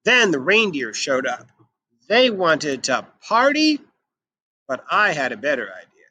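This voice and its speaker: A man reading a children's picture book aloud in an expressive, emphatic way. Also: fairly high pitch, a little slow, a little hiss or hum. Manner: animated, emphatic delivery. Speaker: children's storybook reader.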